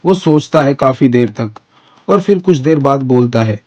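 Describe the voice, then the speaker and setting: A man's voice: mid-range voice, animated, moderate pace, warm tone, muffled recording. A man narrating an animal story for children as its storyteller.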